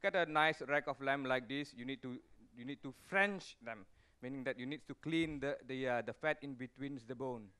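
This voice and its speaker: Chef with a clear voice. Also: male voice, medium pitch, normal speed, few pauses, pushed and bright. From Malaysia. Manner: chatty, explains steps with many fillers and restarts. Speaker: executive chef. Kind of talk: live cooking demonstration.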